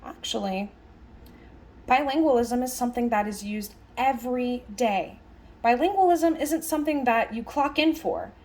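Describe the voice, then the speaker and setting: A woman voicing a lecture as an interpreter, conversational, speaking in halting phrases with stress on key words. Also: on the high side, darkish tone. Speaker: sign language interpreter voicing a signed lecture.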